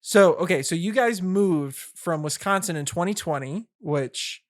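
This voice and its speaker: A man's voice: sits high, expressive, unhurried. A man hosting a podcast interview, chatting casually and joking with his guests. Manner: friendly, joking, hesitant restarts. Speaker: podcast host.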